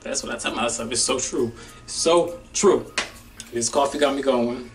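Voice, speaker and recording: Young man, casual vlog talk, animated, a little distant from the mic, faint music bed. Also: medium pitch, normal speed. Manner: animated, chatty, says y'all a lot. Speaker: DJ and vlogger.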